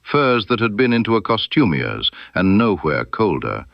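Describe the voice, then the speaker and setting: A man voicing a stern, incisive detective in an audiobook mystery, precise and emphatic, with dramatic pauses. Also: lowish voice, very animated, vocal fry, dry recording, dull audio. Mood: accusing, precise. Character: stern, incisive male detective laying out his case.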